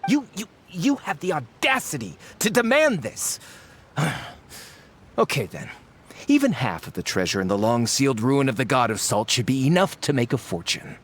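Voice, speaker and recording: A man's voice: mid-range voice, big pitch swings, moderate pace, breathy edge. An actor voicing a brash, impatient male treasure hunter in a video game. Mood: brash, greedy. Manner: brash, dismissive. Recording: game score playing faintly.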